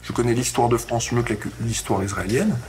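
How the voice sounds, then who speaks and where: A man's voice: medium pitch, brisk, darkish tone, soft background music. A man in his twenties, giving thoughtful interview answers and stumbling over his words at times. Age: 20s. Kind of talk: documentary interview testimony.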